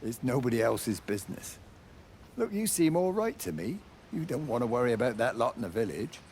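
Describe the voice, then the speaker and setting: An actor playing a gruff, plain-spoken middle-aged countryman in a video game drama, blunt and colloquial. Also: mid-range voice, sing-song, a little fast, a little mellow, slight background noise. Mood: dry, guarded. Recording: music under the voice.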